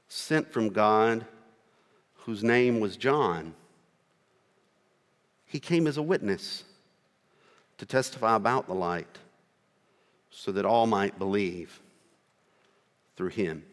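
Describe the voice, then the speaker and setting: A man's voice: medium pitch, fairly bright tone. Earnest preacher, rural American man, reverberant. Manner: earnest, slow phrases with pauses, rising on key words. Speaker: missionary preacher. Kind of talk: sermon. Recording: long reverb of a large hall.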